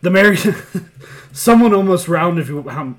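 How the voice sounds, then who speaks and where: A man's voice: high voice, animated, a little slow. A man in his thirties co-hosting a podcast in casual chat, laid-back and chuckling, swearing casually. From United States. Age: 30s. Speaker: podcast co-host.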